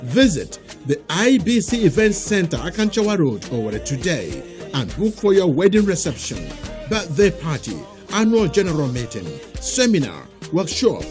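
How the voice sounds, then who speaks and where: A man's voice: fairly high pitch, sing-song, moderate pace, a little mellow, faint music behind. A man with a Nigerian accent delivering a scripted promotional voice-over as an advert announcer.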